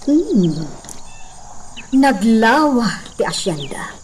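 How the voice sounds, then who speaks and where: Genteel lady of the estate, Ilocano accent, slow measured speech, radio drama, faint noisy night ambience. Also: a little high, sing-song, darkish tone, heavily acted. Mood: troubled, pensive. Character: genteel hacienda lady, a senyora addressing a worker.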